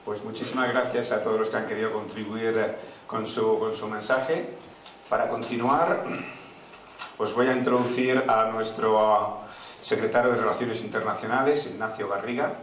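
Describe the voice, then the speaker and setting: A man hosting a political rally, reading out messages and introducing speakers with quick joking asides. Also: fairly low pitch, fairly even intonation, fairly bright tone, a little hiss or hum. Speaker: event host. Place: large indoor event hall. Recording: echoey hall, far from mic. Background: audience laughter.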